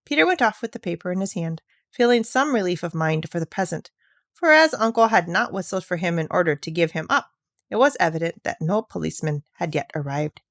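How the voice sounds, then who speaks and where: A woman's voice: fairly low pitch, lively intonation, fairly quick, fairly bright tone, no echo, studio quality. A woman narrating a children's classic aloud as a scripted reading, with careful storytelling phrasing. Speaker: narrator, reader.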